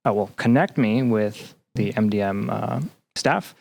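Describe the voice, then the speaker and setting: A man talking casually as an interview guest, halting often as he searches for words. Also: on the low side, vocal fry, a little mellow. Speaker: podcast guest, graduate student.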